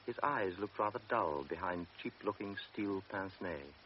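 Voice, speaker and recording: Deep-voiced male radio drama narrator. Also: expressive, moderate pace, muffled recording, a little hiss or hum. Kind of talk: scripted literary narration.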